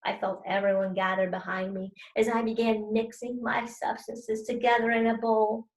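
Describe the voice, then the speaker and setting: A woman with a fairly high voice leads a children's read-aloud as a teacher. She explains simply and speaks straight to her listeners, in a noticeably echoey room. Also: level tone, normal speed. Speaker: teacher reading aloud to students. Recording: roomy, echoey sound.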